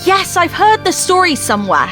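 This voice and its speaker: A woman acting in an audio drama as a plucky, warm-hearted companion to an adventurer, earnest and expressive. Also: very high-pitched, moderate pace. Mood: incredulous. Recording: music and rain under the voice.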